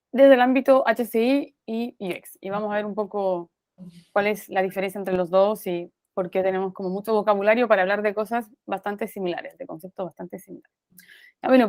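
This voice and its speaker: A woman's voice: mid-range voice, moderate pace, a little mellow, dry recording. Woman, Romanian accent, guest lecturer on a video call; filler-heavy, tag questions, dry close sound. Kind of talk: online university class talk.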